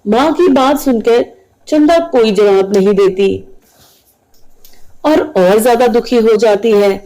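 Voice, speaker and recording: A woman's voice: a little high, slow, dark tone, rough recording. A woman narrating a scripted moral story as the storyteller.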